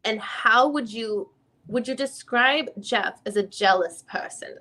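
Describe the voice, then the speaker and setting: Woman acting as female attorney, higher voice, very bright and forceful, persistent questioning, rephrases as she goes, deposition reenactment. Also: measured pace. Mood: probing, businesslike. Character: female defense lawyer questioning a witness, probing and persistent. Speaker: reader playing a deposing attorney. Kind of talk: dramatic reading of a deposition transcript.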